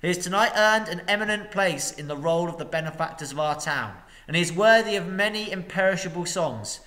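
A man voicing a pompous, self-serving older town master who makes loud, indignant speeches. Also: sits high, lively intonation, brisk, pitched above the performer's own voice.

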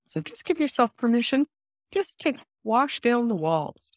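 A woman leading a guided meditation, giving soothing, unhurried instructions with pauses between phrases. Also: a little high, sing-song, dry recording, clean studio sound.

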